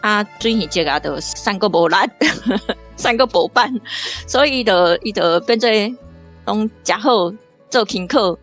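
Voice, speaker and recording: A woman with a Taiwanese accent, an academic giving casual, animated, chuckly literary commentary. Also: mid-range voice, a little slow, faint music behind. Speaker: associate professor, literary commentator.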